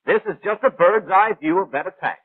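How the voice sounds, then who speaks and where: An actor playing a brisk, know-it-all gunnery instructor in a 1940s animated training film, precise, clipped and didactic. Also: male voice, high-pitched, no room sound, phone-quality sound. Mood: instructive. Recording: thin, band-limited 1940s film soundtrack.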